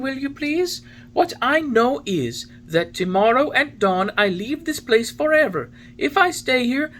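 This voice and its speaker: A woman's voice: high-pitched, a bit bright, slight background noise, exaggerated voice, rough recording. A woman voicing an impudent wooden boy marionette with cheeky, defiant retorts.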